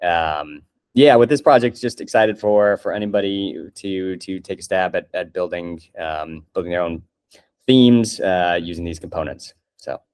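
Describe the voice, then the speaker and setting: A man speaking as a guest presenter, explaining a project casually in a halting, unscripted and modest way. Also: low voice, darkish tone, clear, resonant tone.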